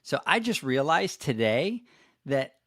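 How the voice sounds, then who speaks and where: A middle-aged man with a Puerto Rican Spanish accent, Spanish his first language, hosts a casual podcast. He tells animated, self-mocking stories at a middle pitch. The sound is close and dry, with no room in it. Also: a little slow, no room sound. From Puerto Rico. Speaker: podcast host.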